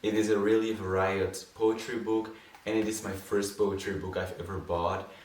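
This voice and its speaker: A young man with a Dutch accent speaks gently and unhurriedly, in a lowish voice, with hesitant fillers between thoughts. He sounds set back from the mic, and the room around him is echoey. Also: level tone, normal speed, far from the mic, slight background noise. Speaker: poetry channel host. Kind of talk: soft spoken-word poetry reading.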